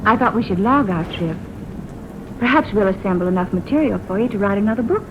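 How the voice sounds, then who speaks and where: An actress playing an affectionate, curious wife and travelling companion in an old-time radio drama style. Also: female voice, medium pitch, animated, fast, close to an everyday voice. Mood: brisk, pleased. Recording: dull noisy old radio recording, rain behind.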